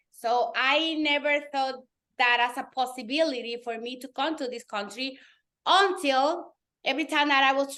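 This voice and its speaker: A woman's voice: sits high, a bit bright. A woman from the Dominican Republic, a native Spanish speaker with a Dominican accent, talking animatedly as a guest in a webinar conversation. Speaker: guest real estate team leader.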